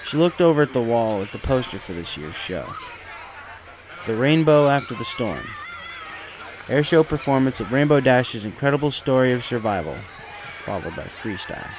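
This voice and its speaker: A man's voice: mid-range voice, animated, normal speed, background noise. A man reading a fan fiction story aloud as a scripted narration. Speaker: story reader. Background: music.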